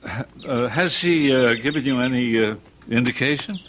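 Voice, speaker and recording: An actor playing a kindly, protective uncle in an old-time radio drama, wry and given to awkward stammering. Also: male voice, mid-range voice, normal speed, a bit bright, slight background noise, natural voice. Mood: concerned. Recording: dull vintage radio drama recording.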